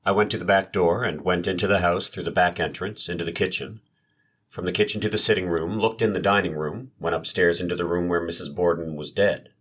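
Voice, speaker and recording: Guy acting as police marshal on the stand, really low voice, clipped yes-sir answers, formal n matter of fact, courtroom drama. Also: steady tone, brisk, a bit bright, slightly rough. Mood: curt, formal. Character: police marshal witness, curt, formal, guarded. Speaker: actor reading a witness's part. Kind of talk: dramatized trial transcript reading.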